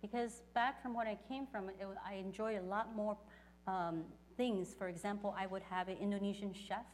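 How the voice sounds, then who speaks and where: A woman working as a church interpreter, relaying a testimony phrase by phrase in a halting delivery. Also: mid-range voice, fairly even intonation, a little mellow, a little hiss or hum. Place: church service.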